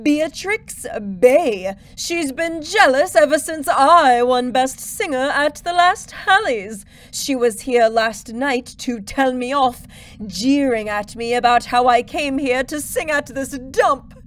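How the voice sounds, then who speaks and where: A woman playing a haughty, dramatic opera diva in a comedy audio drama, with theatrical, drawn-out delivery. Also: high voice. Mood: haughty, gossipy.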